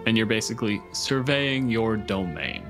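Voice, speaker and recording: A man's voice: fairly low pitch, animated, normal speed, over music. A man narrating casually and conversationally as the game master of a tabletop role-playing game. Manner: profane, conversational.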